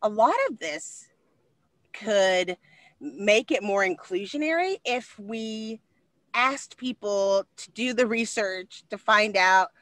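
Brief: animated woman in her 40s, high carrying voice, loud and quick, dry close webcam sound. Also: no echo. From Texas. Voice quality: high-pitched voice that carries. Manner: animated, loud and fast, with expressive hand gestures. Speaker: podcast co-host. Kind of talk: casual conversation. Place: small room indoors. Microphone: video call webcam.

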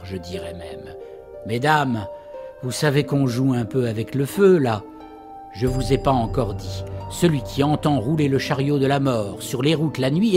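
An actor as an animated old fireside storyteller. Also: male voice, mid-range voice, close to an everyday voice. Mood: jovial, teasing. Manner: theatrical, teasing storytelling. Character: old Breton father and fireside storyteller, jovial yet ominous. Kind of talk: French audio drama acting.

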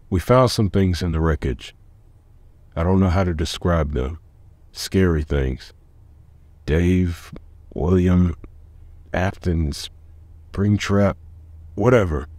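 A man playing a worried middle-aged police chief in a comic dub, grave, measured and guarded. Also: deep voice, darkish tone, no room sound. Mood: uneasy.

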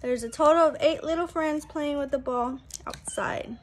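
A young woman, a preschool teacher, reading a picture book aloud to small children in an animated, sing-song, exaggerated way. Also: high-pitched, normal speed, slight background noise. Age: young adult. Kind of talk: read-aloud story time for small children. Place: small room at home, indoors. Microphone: phone at arm's length.

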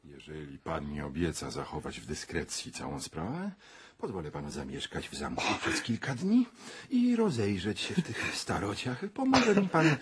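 An actor playing a controlling, scheming secretary to a prince in a radio drama, with a firm, persuasive manner. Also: male voice, deep voice, huge pitch swings, normal speed, noisy background. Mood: discreet persuasion.

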